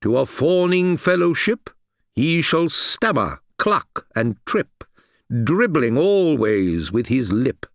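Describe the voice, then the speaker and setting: A man voicing a mocking prophetic Sibyl, a woman oracle chanting slow, stammering verse in an audiobook drama. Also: a little high, sing-song, no room sound, clean studio sound, voice pushed up, full character voice. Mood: ominous, incantatory.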